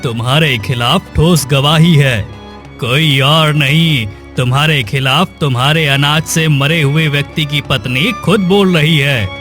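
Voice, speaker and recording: A man acts a stern king on his throne in a cartoon moral tale. He speaks slowly and imperiously, with music clearly playing behind him. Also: a little high, fairly bright tone. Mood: accusing, grave. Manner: imperious, accusing. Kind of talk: dramatised moral story for cartoon.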